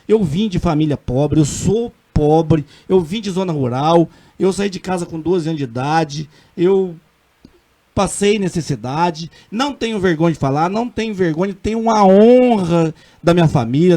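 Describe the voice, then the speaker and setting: A middle-aged man with a Minas Gerais accent speaks in a fairly high voice, earnest and emphatic as a councilman on a radio interview. He halts and restarts often but presses his points with conviction. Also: animated, moderate pace, dark tone. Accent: Minas Gerais Portuguese. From Brazil. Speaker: city councilman.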